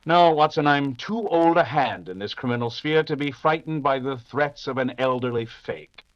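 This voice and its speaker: An actor playing a confident, dismissive veteran detective in the manner of 1940s radio drama. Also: male voice, medium pitch, dull audio, lightly acted. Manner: brisk, dismissive, assured. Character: confident, dismissive veteran English detective, dryly amused and unflappable.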